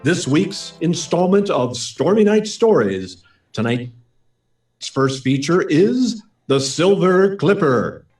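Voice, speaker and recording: Male announcer, 1940s radio narration, highish animated voice, theatrical, echoey room. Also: slow pace, far from the mic. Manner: theatrical, suspenseful. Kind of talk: dramatic scripted narration in 1940s radio style. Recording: echoey room, away from mic, faint music.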